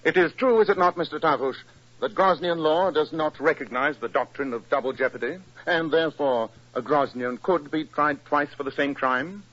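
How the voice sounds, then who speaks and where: An actor playing an incisive, proud English detective with a British accent, precise and emphatic, in 1940s radio style. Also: male voice, on the high side, normal speed, fairly bright tone. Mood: triumphant, accusing. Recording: dull 1940s radio recording.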